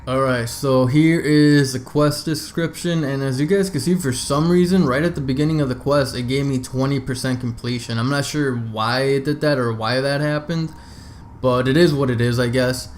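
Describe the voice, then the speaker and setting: A man's voice: mid-range voice, fairly even intonation, moderate pace, a little hiss or hum. A man narrating a video game walkthrough in an informal, easygoing, explanatory way. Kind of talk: casual game walkthrough commentary.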